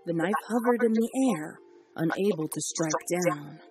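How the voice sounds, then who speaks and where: Steady fanfic story narrator over music. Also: medium pitch, moderate pace.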